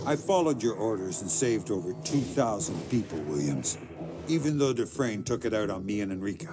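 An actor playing a hard, weary, sardonic middle-aged covert agent in a video game, speaking in a terse, dry way. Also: male voice, fairly low pitch, big pitch swings, a little fast. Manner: terse, dry, matter-of-fact. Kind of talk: video game cutscene acting, terse recounting. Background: loud dramatic music.

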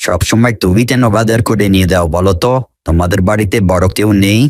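A man voicing a kindly, concerned village shopkeeper in a cartoon, speaking in a gentle, advising way. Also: lowish voice, fast, no room sound, studio quality, lightly acted. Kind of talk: cartoon dialogue.

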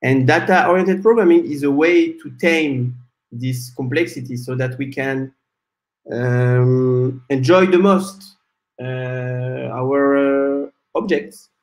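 A man in his forties from France, giving a talk on a video call with many "uh" pauses. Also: medium pitch, steady tone. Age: 40s. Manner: measured, frequent "uh" fillers. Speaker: guest speaker, software developer. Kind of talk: conference talk presentation. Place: small room at home. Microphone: video call webcam.

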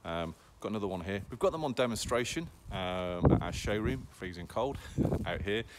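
A man's voice: fairly low pitch, animated, normal speed. A man, a hot tub dealer chatting casually to a phone held at arm's length outdoors, full of ums and okays. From England. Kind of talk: casual selfie sales vlog. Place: outdoors at a showroom yard in snow.

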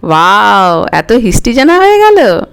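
A woman's voice: medium pitch, huge pitch swings, a little slow, vocal fry, clear, resonant tone, heavily acted. A woman voicing a curious, teasing young granddaughter in a dramatic story reading. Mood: impressed, teasing. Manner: teasing, inquisitive. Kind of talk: dramatic audiobook character reading. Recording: music under the voice.

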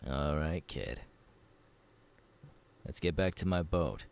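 A man voicing a gruff, easygoing pirate captain in an ASMR roleplay, gravelly and unhurried, talking intimately to the listener. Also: very deep voice, animated, a little mellow, heavily acted. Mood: relaxed, welcoming. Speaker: voice actor.

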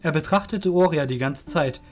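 A man's voice: fairly high pitch, moderate pace. A man narrating a scripted fantasy audio drama as its storyteller.

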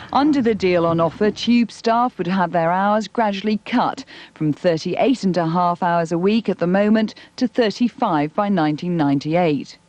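Woman reporter, mid-pitched scripted narration. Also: a little mellow. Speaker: TV news reporter. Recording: archive tape with faint noise.

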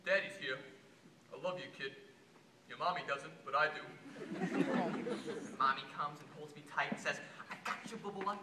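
An actor playing an unhinged, bitter working-class American would-be assassin in a theatrical stage monologue, veering erratically between shouted rage and hushed pleading. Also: male voice, a little high, moderate pace, long pauses, close to an everyday voice. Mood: childlike, bitter. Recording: distant, echoey amateur stage recording, faint noise.

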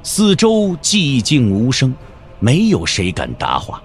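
A man's voice: medium pitch, wildly swooping pitch, slow pace, soft background music. A man narrating a wuxia audio drama in a dramatic, scripted storytelling style.

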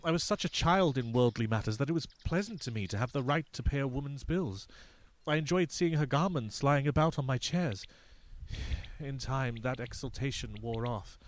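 A man's voice: medium pitch, normal speed, dry recording, lightly acted. A man playing a pompous, self-pitying lovestruck husband in a radio play, earnest and self-dramatising.

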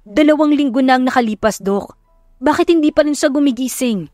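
A considerate male rescuer voiced by a woman narrator in an audio romance. He speaks gently and apologetically, explaining himself, in a dry recording with music behind. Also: high-pitched, a little fast, no echo, pitched above the performer's own voice, lightly acted. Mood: worried. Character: concerned, apologetic young man, a calm rescuer.

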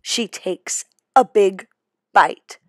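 Very slow, theatrical woman storyteller. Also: medium pitch, dry recording, clean studio sound. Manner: theatrical, suspenseful, expressive. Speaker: storybook reader. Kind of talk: dramatic children's read-aloud narration.

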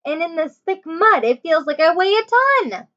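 A woman reading aloud voicing a feisty, stubborn young girl who speaks loudly and defiantly. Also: very high-pitched, sing-song, normal speed, voice pushed up, exaggerated voice. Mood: chatty. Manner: loud, defiant. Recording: dull lo-fi recording.

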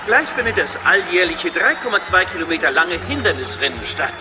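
An actor playing a formal but excitable horse-race announcer, giving sports commentary in a cartoon. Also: male voice, sits high, a little fast, crowd noise, background noise.

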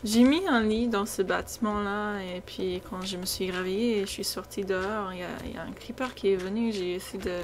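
A woman's voice: mid-range voice, brisk, hardly any pauses. A young American woman, a native English speaker with an American accent, chatting hesitantly and casually over gameplay, her voice often trailing off. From United States. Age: young adult. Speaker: gaming video creator. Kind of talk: casual off-the-cuff gameplay commentary. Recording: noisy home recording, game sound behind. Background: video game sounds.

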